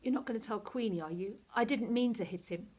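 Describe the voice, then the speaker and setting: A woman reads as a spiky young schoolgirl in a children's novel. She is defiant and confrontational, her pitch lifted and her words pointed. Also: a little fast, darkish tone, breathy edge. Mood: defensive. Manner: taunting, defiant.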